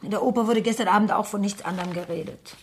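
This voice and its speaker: An actress as an offhand, creaky-voiced daughter. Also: female voice, medium pitch, fast talker, a little hiss or hum, close to an everyday voice. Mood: weary, flippant. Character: flippant, bored adult daughter, a chorus singer. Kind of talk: 1970s German radio play acting.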